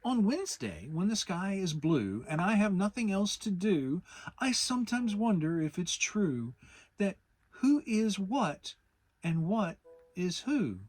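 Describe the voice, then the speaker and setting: A storybook reader voicing a gentle, earnest, slow-witted bear with a halting, deliberate manner. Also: mid-range voice, sing-song, no room sound, voice pushed up. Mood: earnest recital. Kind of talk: dramatic children's storybook reading.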